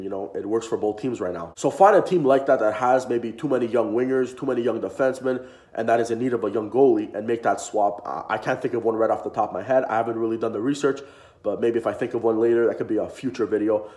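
A man's voice: mid-range voice, a little mellow. A young man with a stuffy, sick-sounding voice giving casual hockey commentary to camera from his garage, rambling with many fillers. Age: young adult. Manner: rambling, lots of "uh" and "you know". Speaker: hockey vlogger. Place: home garage, indoors. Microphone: built-in camera mic, close front framing. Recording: echoey garage room.

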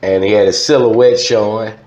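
A man's voice: on the low side, fairly even intonation, moderate pace, a little mellow. A man in his fifties with a Louisiana Southern accent, telling stories casually in an interview and often dropping "man" into his phrases. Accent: Southern American, Louisiana. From Louisiana, United States. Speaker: podcast guest, former radio DJ. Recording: slightly dull, echoey studio sound.

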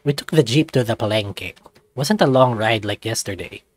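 Guy reading a visual novel out loud, storytelling style, lots of pitch movement, dry mic. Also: lively intonation, normal speed, no echo. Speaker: narrator of a visual novel let's read. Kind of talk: expressive scripted narration, reading aloud.

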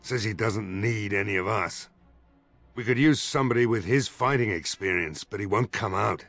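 An actor playing a gruff, weary, skeptical village mayor in a fantasy video game, blunt and grumbling, with a husky voice. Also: male voice, lowish voice, moderate pace, a bit bright, no room sound, soft background music. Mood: worried, exasperated. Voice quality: gravelly, husky.

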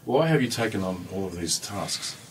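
A man's voice: sits low, lively intonation, normal speed, slightly rough. Off-mic man asking interview questions. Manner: short, prepared questions with brief listening murmurs. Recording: distant from the mic, faint hiss.

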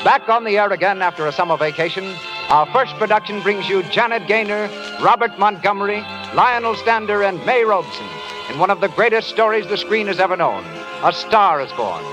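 A man's voice: sits high, lively intonation, a little fast, hardly any pauses, rough recording. A man announcing formally on a 1930s radio show. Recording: old 1930s radio broadcast with music.

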